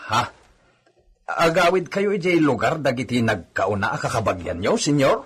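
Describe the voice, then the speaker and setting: A raspy, hesitant henchman in an Ilocano radio drama. as a nervous, deferential servant with an Ilocano accent and a mid-pitched voice. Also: sing-song, moderate pace, fairly bright tone, exaggerated voice. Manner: hesitant, stammering.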